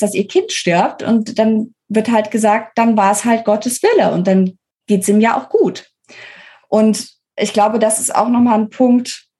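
A woman of medium pitch speaks. She explains her points as an interview guest, articulate and emphatic, while keeping the wording colloquial. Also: warm tone. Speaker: guest author interviewed about her book. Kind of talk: conversational podcast interview, explanatory.

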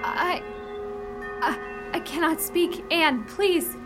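A woman's voice: extremely high pitch, takes it slowly. A woman playing a determined, melodramatic young widow in a radio play, with earnest delivery. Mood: terrified. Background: music.

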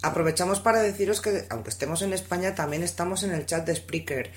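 A woman's voice: lowish voice, fast, lightly acted. A woman with a Spanish accent co-hosting a casual music podcast, chatty and playful. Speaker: podcast co-host. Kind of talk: casual radio chat, playing another show's host.

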